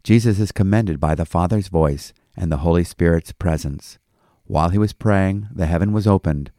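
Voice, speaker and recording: A man speaking as a pastor, giving measured Bible commentary and reading scripture in a devotional style. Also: sits low, warm tone, no room sound, clean studio sound. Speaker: pastor and host. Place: small office studio.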